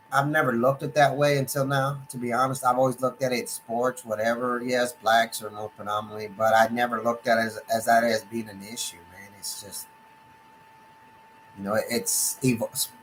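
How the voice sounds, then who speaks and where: A middle-aged Texan man talks sports on his live stream at a mid-range pitch. He rambles, stops and restarts his sentences, and leans on "you know" and "man". A faint hiss of noise sits under his voice. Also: a bit bright, poor audio. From Texas, USA. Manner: rambling, halting, many restarts and fillers. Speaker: sports channel host. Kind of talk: live-stream casual monologue. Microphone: desktop computer setup.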